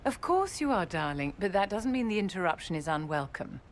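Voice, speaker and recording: A woman's voice: lowish voice, very animated, brisk. An actress playing a poised, imperious female religious leader in a video game, haughty and polished, with teasing endearments. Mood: composed, gracious. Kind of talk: video game cutscene acting. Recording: long hall reverb, faint noise.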